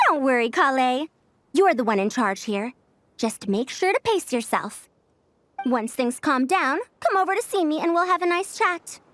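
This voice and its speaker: A woman's voice: high voice, expressive, normal speed, a bit bright, a little hiss or hum. A woman voicing a proud, prickly scholar with a youthful look and an old-fashioned, pompous lecturing manner in an anime game dub. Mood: brisk, fond.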